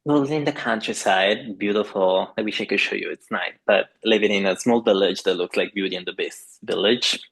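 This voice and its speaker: A man's voice: lowish voice, moderate pace, gravelly, few pauses, fairly bright tone, husky, rough recording. A man in his thirties from Italy with an Italian accent, talking enthusiastically as a yoga teacher guest on a video call. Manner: enthusiastic, acts out other people's voices. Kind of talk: casual interview conversation. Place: home in a French village at night.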